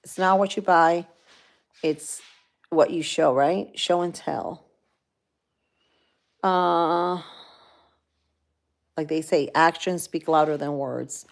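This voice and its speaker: A woman's voice: low voice, slow, vocal fry, pauses often. A woman with a Cuban accent who grew up in Florida, hosting a casual livestream and rambling to her viewers as she reads their comments. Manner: rambling, talks straight to viewers, many fillers. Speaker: livestream host.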